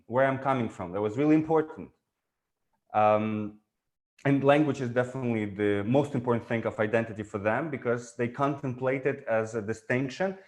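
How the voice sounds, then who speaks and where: A man, not an English speaker, talking through ideas in a conversational discussion, thoughtful and prone to restarts. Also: mid-range voice. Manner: thoughtful, explains at length with frequent restarts. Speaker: guest.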